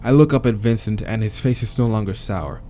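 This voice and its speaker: A man's voice: on the low side, brisk, dark tone, soft background music. A man reading visual novel narration aloud as a let's player, with casual, crude asides.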